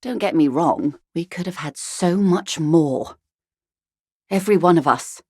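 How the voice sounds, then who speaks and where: Posh rich middle-aged negotiator lady from a video game, low voice, dry clean recording, wry and formal, very composed. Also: breathy edge, no echo. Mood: wistful, reflective. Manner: measured, eloquent, dryly ironic, formal. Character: poised wealthy middle-aged female corporate negotiator, wry and worldly. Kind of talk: video game character dialogue.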